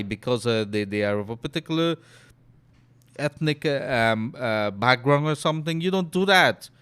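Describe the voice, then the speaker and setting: A Guyanese man hosting a call-in radio show. He reads out listener messages and comments on them with loud emphasis. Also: mid-range voice, clear, resonant tone, no room sound. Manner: loud and animated on air, trails off and murmurs when aside. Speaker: radio host. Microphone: studio mic.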